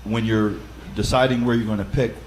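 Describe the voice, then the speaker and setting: A man with a loud voice who leads a Bible study, explaining practical advice in a conversational way with frequent fillers and restarts. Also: lowish voice, steady tone, fairly quick, slight background noise, poor audio. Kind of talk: instructional talk.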